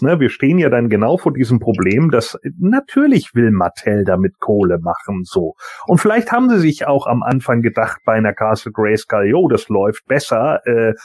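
A man's voice: medium pitch, a little mellow, dry recording. A man in a casual podcast discussion, speaking colloquially and emphatically with frequent tag questions. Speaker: podcast panelist.

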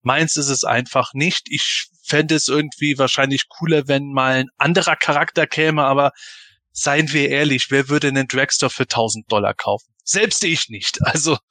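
A man's voice: medium pitch, sharp tone, dry recording. A man chatting casually as a panelist on a fan podcast.

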